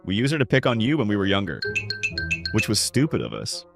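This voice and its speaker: A gruff, sheepish cartoon tough guy, acted by a man, now friendly. His voice is low and lively, with casual blunt delivery over faint music. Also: fairly quick, full character voice. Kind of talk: cartoon comic dub.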